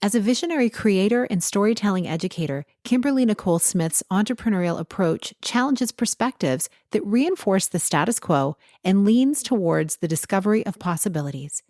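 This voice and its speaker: Woman host reading a guest intro, steady and scripted, super dry close audio. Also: medium pitch, dry recording. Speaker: podcast host.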